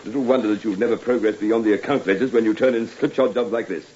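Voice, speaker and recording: An actor in an old-time radio drama playing a cold, scheming office boss, curt and formal in manner. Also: male voice, lowish voice, steady tone, fast talker, a little mellow, natural voice. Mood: stern. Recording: dull, lightly noisy old radio recording.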